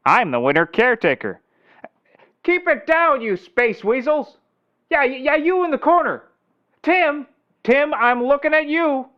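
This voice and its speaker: A man's voice: very high voice, very animated, a little slow, long pauses, dull audio, exaggerated voice. A man playing a deluded, addled slacker detective in a comedy audio drama, rambling and stammering erratically. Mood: flustered, evasive.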